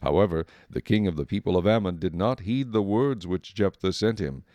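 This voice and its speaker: A man narrating scripture for a dramatized audio Bible in a measured, formal storytelling manner. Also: deep voice, dark tone, no echo.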